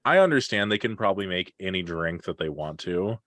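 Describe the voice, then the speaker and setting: A man hosting a casual podcast, leading the talk in a relaxed, slightly halting way. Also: sits low, no room sound. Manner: leads the list, relaxed and a little halting. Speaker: podcast host. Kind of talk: casual chat.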